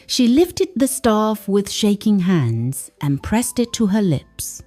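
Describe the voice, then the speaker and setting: Slow, animated woman storyteller over music. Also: medium pitch. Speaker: folktale narrator. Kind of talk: dramatic scripted storytelling narration.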